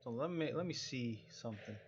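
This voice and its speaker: A man's voice: medium pitch, lively intonation, a little fast, muffled recording, noisy background. A man giving casual, rambling livestream commentary on a game, often correcting himself as he talks into a headset microphone. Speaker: game streamer.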